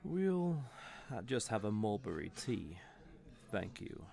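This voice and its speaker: A man's voice: fairly low pitch, big pitch swings, vocal fry, heavily acted. A man playing a cocky, suave fantasy villain who teases and flirts smugly in an audio roleplay. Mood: relaxed, teasing. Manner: smug, playful, unhurried teasing with soft chuckles. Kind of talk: scripted audio roleplay addressed to the listener.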